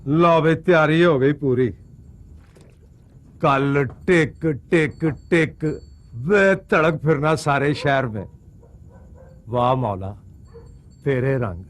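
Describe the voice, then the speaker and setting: Give me a slow-talking, dreamy cart driver. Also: male voice, on the high side. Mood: excited anticipation. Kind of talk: Urdu television film acting.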